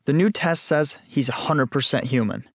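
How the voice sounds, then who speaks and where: A literal-minded junior federal agent, acted by a man for an audio story. He reports deferentially and deadpan in a mid-pitched voice, recorded dry. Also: moderate pace, breathy edge, no echo.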